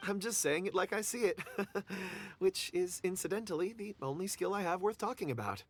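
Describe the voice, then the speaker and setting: An actor playing a forgetful, jovial assistant who chuckles easily and talks in an easygoing, absent-minded way. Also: male voice, sits high, lively intonation, normal speed.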